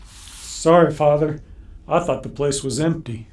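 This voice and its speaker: An actor playing a polite, hesitant war veteran cowboy in a Western radio drama, with an American accent. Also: male voice, fairly high pitch, a little slow, a little mellow, natural voice. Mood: apologetic.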